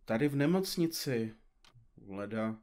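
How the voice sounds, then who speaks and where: A man acting a teasing detective sidekick in an audio drama. His voice is mid-pitched, and he speaks with wry, playful theatricality. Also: animated, a little mellow, close to an everyday voice. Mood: matter-of-fact.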